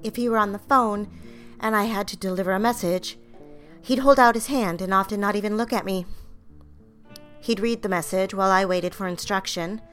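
A woman plays a young secretary in an audio drama. Her voice sits in a middle register, sincere and a little wounded but quietly stubborn. Soft music plays behind her. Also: mid-range voice, normal speed, lightly acted. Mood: reflective, hurt. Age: young adult. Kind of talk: audio drama acting and narration.

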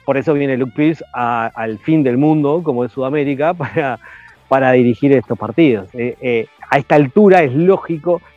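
A man giving measured, analytical commentary in a casual chat, with frequent hesitations. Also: mid-range voice, no room sound, soft background music. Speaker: rugby podcast guest. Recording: dry, dull lo-fi sound.

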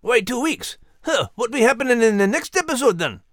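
An actor voicing a male Scottish terrier dog, gruff but tender and teasing, with a Scottish accent and folksy dialect. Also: medium pitch, sing-song, brisk, dry recording, clean studio sound. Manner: folksy, warm dialect talk. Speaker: podcast co-host character. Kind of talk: scripted character banter.

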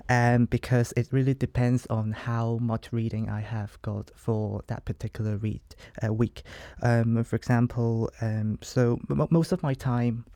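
A man's voice: mid-range voice, dark tone, no room sound. A young man from Hong Kong with a Hong Kong accent, a law student answering interview questions hesitantly. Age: young adult. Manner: hesitant, searching for words.

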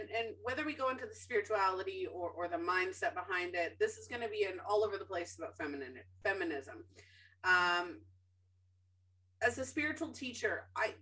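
Chatty woman host, bright sharp voice, a bit far from the mic, lots of ums. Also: medium pitch. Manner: chatty, self-correcting, frequent ums; opinionated, emphatic. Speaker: podcast host. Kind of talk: casual podcast chat.

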